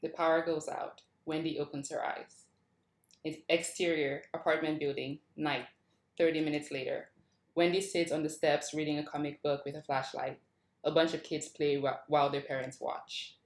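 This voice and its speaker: A woman's voice: sits low, level tone, normal speed. A woman hosting a table read and reading stage directions from a script. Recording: roomy, echoey sound.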